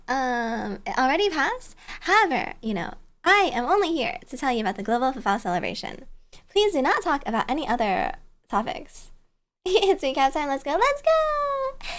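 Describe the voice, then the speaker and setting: A woman livestreamer chatting casually and greeting viewers in a bubbly, giggly, cutesy and playful manner. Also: sits high. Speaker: livestreamer, virtual bear-girl host.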